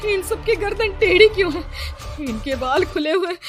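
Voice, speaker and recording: A woman's voice: very high-pitched, animated, moderate pace, soft background music. A woman voicing a frightened mother in a cartoon horror story, tearful, urgent and pleading. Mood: alarmed. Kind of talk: animated horror story dialogue.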